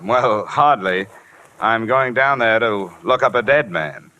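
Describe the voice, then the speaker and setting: An actor playing a tough, wry insurance investigator in clipped 1940s radio detective style, on an old recording. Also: male voice, on the low side, animated, slow pace, pushed and bright, highs cut off, a little hiss or hum, exaggerated voice. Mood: dry, terse.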